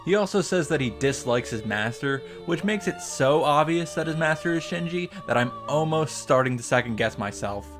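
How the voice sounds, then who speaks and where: A man's voice: a little high, animated, moderate pace, few pauses. Sarcastic man recapping a game over music. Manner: sarcastic, profane. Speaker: video host recapping a visual novel. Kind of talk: game recap with commentary, reading lines aloud.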